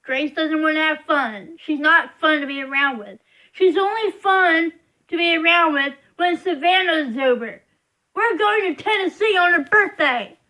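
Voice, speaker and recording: Young woman, high voice, slow unhurried delivery, frustrated rambling vlogger. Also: pushed and bright, low-quality recording. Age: young adult. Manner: frustrated, rambling, emphatic complaining. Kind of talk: casual venting monologue.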